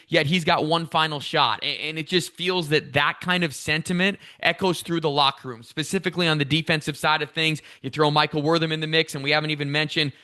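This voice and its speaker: A man's voice: fairly high pitch, sharp tone. A man talking as a radio play-by-play announcer in a sports interview, fluent, detailed and enthusiastic.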